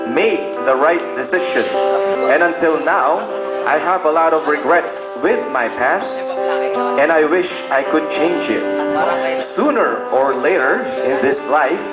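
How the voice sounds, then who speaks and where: Loud instrumental music plays behind a high-pitched Filipino man in an echoey room. He reads a letter aloud with a Filipino accent, in a playful and slightly stumbling manner, dropping in joking asides as a native Tagalog speaker would among friends. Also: expressive, slow pace. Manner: playful, stumbling, breaks into chuckles and side comments. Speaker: reader of a friend's message.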